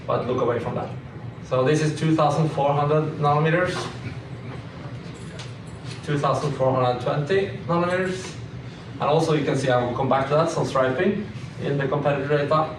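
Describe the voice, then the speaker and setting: Man, slightly high voice, halting technical presenter talking through slides; echoey room with clear noise. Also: fairly even intonation. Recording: echoey room, distant mic, clearly noisy.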